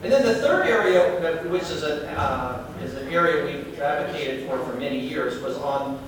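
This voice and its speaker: A man's voice: a little high, normal speed. A man with a Southern US accent gives a chatty talk to a meeting, often putting questions to the audience. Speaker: advocacy group speaker. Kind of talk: informal speech to a meeting. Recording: echoey room, distant mic, crowd.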